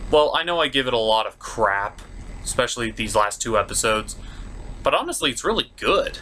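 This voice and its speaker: A male review host with a medium-pitched voice and a very animated, swooping delivery. He narrates with theatrical sarcasm over noticeable background noise. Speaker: host of a puppet cartoon review show. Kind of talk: scripted comic review narration.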